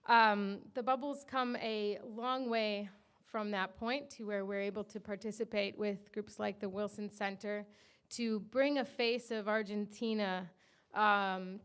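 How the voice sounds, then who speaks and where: A woman's voice: medium pitch, fairly bright tone, clean tone. An American woman in her thirties, from the Washington area, giving chatty, informal closing remarks at a panel event. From Washington area, USA. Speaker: co-founder of a digital media company.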